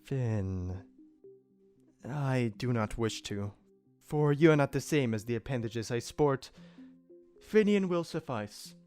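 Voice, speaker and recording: A man's voice: medium pitch, lively intonation, slow pace, long pauses, darkish tone, clean tone. Casting: man as a solemn protective demon, mid-range voice, rigidly formal old-world phrasing, music underneath. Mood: stiff deference. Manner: stiff, archaic, formal diction. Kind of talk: audio drama acting.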